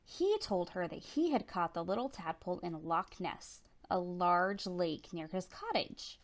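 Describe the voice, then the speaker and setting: A woman reading a children's picture book aloud as storytime narrator, with animated, dramatic emphasis. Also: on the low side, measured pace. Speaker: storytime reader.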